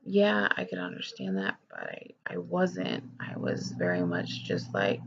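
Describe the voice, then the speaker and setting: A woman's voice: fairly low pitch, wildly swooping pitch, unhurried, vocal fry, highs cut off, a little hiss or hum. A young woman talking candidly in a personal vlog, rambling with lots of fillers and swearing, her voice nasal and stuffed up from a cold. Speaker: vlogger.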